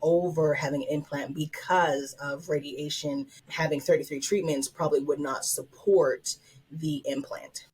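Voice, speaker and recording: A woman in her thirties talking as a patient in a heartfelt, halting way, repeating words. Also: low voice, fairly even intonation, a little mellow, slightly rough, poor audio. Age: 30s. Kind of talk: interview testimony.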